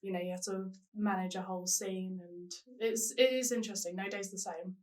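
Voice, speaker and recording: A woman's voice: mid-range voice, fairly even intonation, brisk, a little mellow, far from the mic. A young woman from South London, a paramedic student chatting casually, often laughing and hesitating. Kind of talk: casual chat.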